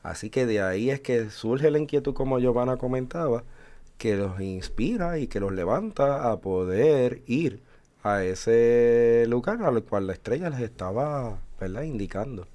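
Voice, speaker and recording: A man's voice: mid-range voice, lively intonation, a little slow, mellow. A man in his forties co-hosting a religious radio chat, explaining thoughtfully with hesitant fillers. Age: 40s. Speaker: radio show co-host.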